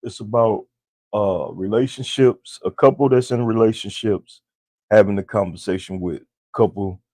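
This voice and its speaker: A man co-hosting a podcast with his wife, with a deep voice, chatting casually and talking straight to listeners. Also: fairly even intonation, normal speed, mellow, no echo. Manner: laid-back, talks straight to listeners as y'all. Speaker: podcast co-host.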